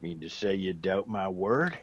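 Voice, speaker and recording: An actor playing a gruff old soldier back from India, a rambling, emphatic storyteller fond of whiskey, in a radio drama. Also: male voice, low-pitched, animated, unhurried, lo-fi sound, heavily acted. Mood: huffy.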